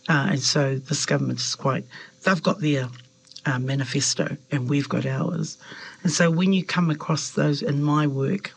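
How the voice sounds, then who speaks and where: Gravelly, husky New woman. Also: deep voice, normal speed, low-quality recording. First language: Samoan. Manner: thoughtful, hesitant with fillers, animated when quoting others. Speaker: member of parliament. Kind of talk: reflective interview answers.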